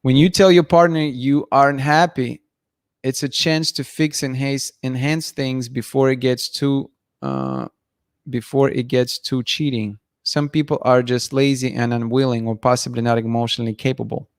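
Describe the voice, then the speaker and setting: A hoarse man in his thirties hosts a livestream from a dim room, bluntly offering opinions as he reads chat comments. He thinks aloud and restarts his sentences. His voice sits in a middle pitch, picked up close and dry by a studio mic. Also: normal speed, no echo. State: losing his voice, clearing throat. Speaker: livestream host. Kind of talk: casual livestream talk reading chat comments. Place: dim room at night, indoors. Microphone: studio mic in front of him.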